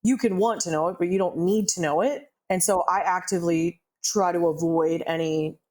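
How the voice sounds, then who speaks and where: Earnest, low-voiced woman podcast co-host. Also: normal speed, darkish tone. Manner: earnest, explaining herself, hedging. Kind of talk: casual podcast chat.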